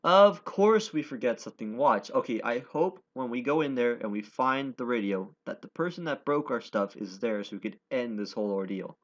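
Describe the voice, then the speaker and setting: A man's voice: medium pitch, lively intonation, normal speed, hardly any pauses. A man reading a visual novel's dialogue and narration aloud as a let's player, stumbling over words and correcting himself. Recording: dull audio with game music behind. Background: game music.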